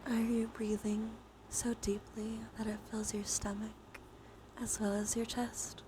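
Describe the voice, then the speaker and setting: A woman leading a guided ASMR relaxation, speaking softly and mostly in whispers, with a soothing, gentle manner. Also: medium pitch, level tone, measured pace. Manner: soft, mostly whispered delivery. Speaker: live streamer leading relaxation exercises. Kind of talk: ASMR guided breathing and body scan with chat asides. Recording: clear background noise.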